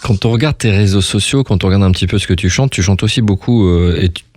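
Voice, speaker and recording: A man with a fairly low voice, recorded close and dry. He hosts a chatty radio interview, informal and effusive, often rambling from one thought into the next. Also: quick pace, darkish tone, dry recording.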